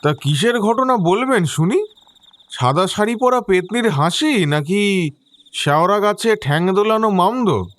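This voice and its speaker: A skeptical villager in an audio story. His high voice is cheeky and teasing, full of mockery. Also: lively intonation, a little slow, fairly bright tone. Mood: mocking. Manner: mocking, teasing.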